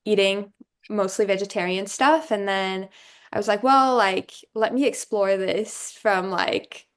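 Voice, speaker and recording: A young woman from Brazil, a former student, talking through her path in a relaxed interview, thinking aloud with many "um"s and "you know"s. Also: medium pitch, unhurried, smooth. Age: young adult. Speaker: alumna guest. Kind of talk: casual interview conversation.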